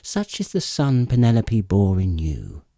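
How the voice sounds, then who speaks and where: Assured goddess in seafarer's guise male reader, epic audiobook; slow, declamatory, widely swooping intonation. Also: lowish voice, wildly swooping pitch, mellow, dry recording, clean studio sound, full character voice. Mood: probing, disapproving. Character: grey-eyed goddess disguised as a seafaring lord, assured, questioning. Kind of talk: dramatic epic audiobook reading.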